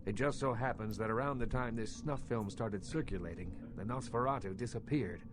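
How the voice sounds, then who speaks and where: A man voicing an imperious, territorial underworld boss in a video game, speaking in formal, measured, eloquent phrases with cool menace. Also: medium pitch, lightly acted. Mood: grave, concerned. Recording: music behind the voice.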